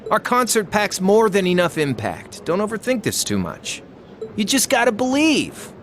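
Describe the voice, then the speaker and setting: A cocky young student in an anime game. His voice sits fairly high and moves around with plenty of life. The temper is laid-back and wisecracking. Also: wildly swooping pitch, moderate pace, fairly bright tone, slight background noise, exaggerated voice. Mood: reassuring, breezy. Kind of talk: anime game dub acting.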